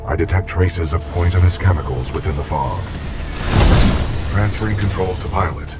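A man playing a giant military robot, loyal, calm and logical, speaking formally in clipped technical statements in a video game. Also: deep voice, moderate pace, lightly acted. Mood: calm, alert. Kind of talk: scripted video game dialogue. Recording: loud game music behind.

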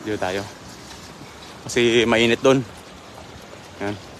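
A man's voice: mid-range voice, slow, fairly bright tone, a little hiss or hum. A young man hosting a live fishing stream outdoors by a river, greeting viewers by name in a chatty, polite manner, speaking into a handheld phone. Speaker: livestream host.

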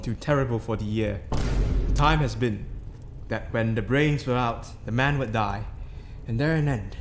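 A tormented king of Shakespearean tragedy, acted by a man, with a medium-pitched voice. He is boastful and terrified, haunted by guilt and theatrical in delivery. The recording carries clear noise. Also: lightly acted. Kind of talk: dramatic reading of Shakespearean tragedy. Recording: noisy amateur recording.

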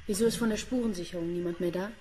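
An actress playing a dry, sardonic police inspector in a German radio crime drama. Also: female voice, fairly low pitch, racing, mellow, a little hiss or hum, lightly acted. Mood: businesslike. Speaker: police detective character.

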